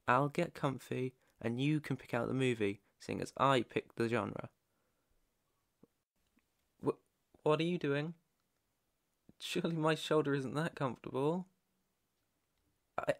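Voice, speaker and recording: A man's voice: fairly high pitch, vocal fry, exaggerated voice. A British man playing a shy, sweet, easily flustered young best friend in an intimate ASMR roleplay, stammering bashfully and tenderly. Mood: happy, eager, then flustered, embarrassed. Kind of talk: ASMR roleplay acting, intimate one-sided dialogue.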